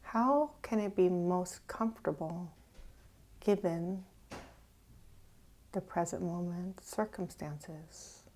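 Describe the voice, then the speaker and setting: A woman with a soft-edged, dark and relaxed voice leads a guided meditation at a very slow pace. She is gentle and leaves pauses between phrases. Her words often trail away into a breathy near-whisper. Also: fairly low pitch. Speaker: meditation teacher. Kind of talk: guided meditation, unhurried and instructive.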